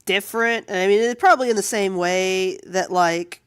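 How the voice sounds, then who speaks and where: A woman artist chatting casually and with amusement on a livestream while she draws, in a rambling, animated way. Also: mid-range voice, normal speed, clear, resonant tone, no room sound, clean studio sound. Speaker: comic artist streaming while drawing.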